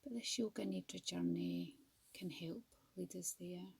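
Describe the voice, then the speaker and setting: A woman teaching yoga nidra as a guided meditation, speaking softly and soothingly with gentle, measured pauses. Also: fairly low pitch, gravelly, husky, no echo.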